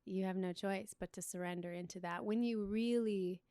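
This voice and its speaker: A young adult woman, a relationship coach, speaking as a guest in a calm, reflective, soft-spoken way. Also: mid-range voice, moderate pace, smooth, dry recording, studio quality. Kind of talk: interview conversation.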